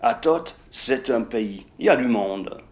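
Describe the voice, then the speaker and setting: A man playing an unhurried coachman in a French radio play, laconic and folksy. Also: medium pitch, animated, darkish tone, close to an everyday voice.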